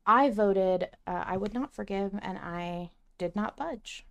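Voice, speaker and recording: A woman's voice: medium pitch, slow, vocal fry, a bit bright. A woman co-hosting a podcast, chatting casually and effusively about fiction, with some swearing. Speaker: podcast co-host. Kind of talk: casual fan discussion chat.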